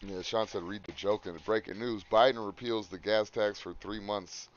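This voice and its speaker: A loud man co-hosting a podcast, rambling and swearing in casual chat. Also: lowish voice, steady tone, normal speed. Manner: rambling, swears often, laughs. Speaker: podcast co-host. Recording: dull lo-fi sound.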